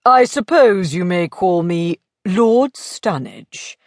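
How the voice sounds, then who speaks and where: Lady putting on a wry posh lord for an audiobook, lowish, slow, dryly amused. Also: lively intonation, dry recording, clean studio sound. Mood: wry disbelief. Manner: droll, unhurried, deliberate.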